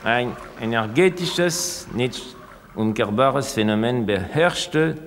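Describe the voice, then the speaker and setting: A man lecturing in a scripted radio-essay dialogue, formal, deliberate and oracular as he expounds ideas. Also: medium pitch, expressive, slight background noise. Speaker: thinker expounding ideas on sound. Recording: long reverberant hall.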